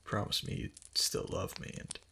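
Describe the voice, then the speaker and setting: A man playing a doting, obsessive fox-spirit husband in an intimate ASMR roleplay, breathy and half-whispered, tender and hesitant, close to the microphone. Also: moderate pace, background noise, natural voice. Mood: tender, explaining.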